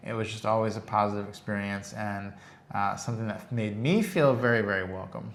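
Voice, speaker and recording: A man's voice: on the low side, a little fast, a little hiss or hum. Male co-host from Detroit, laid-back anecdotal delivery, roomy sound. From Detroit, USA. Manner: relaxed storytelling, quotes people. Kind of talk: casual travel chat. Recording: echoey room.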